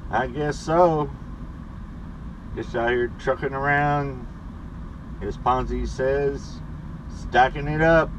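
A middle-aged man who drives a truck, chatting casually in a weekly vlog with a laid-back, rambling manner full of pauses and fillers, recorded by a dashboard camera inside his cab. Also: mid-range voice, fairly even intonation, noisy background. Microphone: camera mounted on the dashboard.

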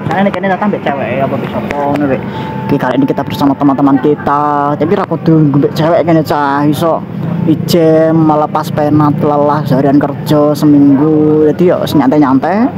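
A man's voice: fairly high pitch, steady tone, brisk. Young man vlogging outdoors. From Indonesia. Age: young adult. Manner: loose, rambling, mixes in local slang. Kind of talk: casual vlog chat. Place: outdoor cafe terrace with bamboo blinds. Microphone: handheld phone camera held close. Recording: muffled phone audio, loud outdoor traffic.

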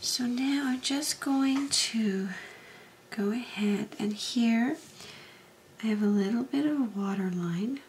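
Slow soft-spoken woman, slightly hoarse. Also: fairly high pitch, slight background noise, low-quality recording. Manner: soft, unhurried, often half-whispered. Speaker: craft tutorial host. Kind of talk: casual step-by-step demonstration.

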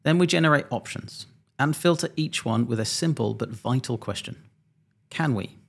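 A man around forty, an airline pilot, presenting a scripted explainer in a measured, methodical, slightly dry way, close to a desk microphone. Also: mid-range voice, creaky, husky. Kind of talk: scripted explainer narration to camera. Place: small home studio indoors.

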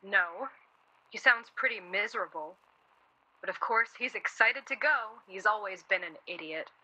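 An actress playing a sharp-tongued, worried young wife in a 1940s radio play, snippy and sardonic. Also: female voice, medium pitch, moderate pace, highs cut off. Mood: bitter, worried.

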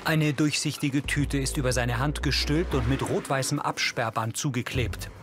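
Measured male audio description narrator. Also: medium pitch, fairly even intonation, over music. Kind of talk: scripted narration of screen action.

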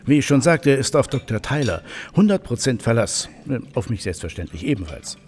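An actor playing a genial, chatty professor of technical history in an audio drama, with a hesitant, jovial and bookish manner. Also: male voice, mid-range voice, sing-song, moderate pace, a little mellow, breathy edge. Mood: curious.

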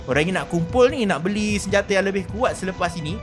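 A Malaysian man hosting a gaming video with excited, exclamatory gameplay commentary. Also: sits high, quick pace, music in the background. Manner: exclamatory, animated reactions.

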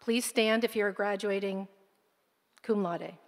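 A woman's voice: mid-range voice, fairly even intonation, slow, a little hiss or hum. A woman at a podium formally announcing academic honors at a graduation ceremony in a large hall. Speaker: school administrator announcing honors. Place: large concert hall stage. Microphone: podium microphone. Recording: long hall reverb, faint crowd.

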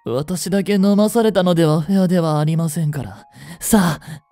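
A man playing a polite, devoted android servant overcome by feverish love in a Japanese romantic audio drama, speaking formally in breathy, halting phrases. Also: on the high side, mellow, no echo, faint music behind, natural voice. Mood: devoted, teasing.

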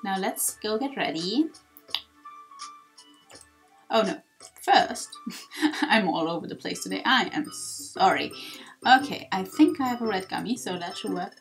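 A woman's voice: medium pitch, expressive, a little slow, creaky, pauses often, rough recording. A woman doing casual, self-deprecating video game commentary, often apologetic as she plays. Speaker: let's player. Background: game music.

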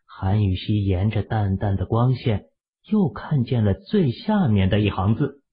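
A man with a raspy voice narrating an audio drama in a steady storytelling manner. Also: fairly low pitch, unhurried, muffled recording.

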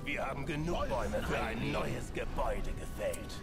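An actor playing an urgent servant of a dark army, making theatrical announcements in a video game. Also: male voice, mid-range voice, animated, normal speed, creaky. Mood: reporting. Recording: loud game music behind.

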